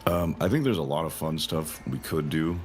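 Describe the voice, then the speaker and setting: A man's voice: a bass voice, moderate pace, a little hiss or hum. A man who works as a game developer, answering interview questions in a rambling way, thinking aloud with lots of fillers.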